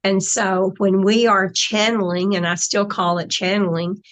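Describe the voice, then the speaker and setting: A woman's voice: medium pitch, flat delivery, unhurried, rough recording. A woman in casual conversation, explaining her spiritual channeling in a reflective way, with trailing phrases.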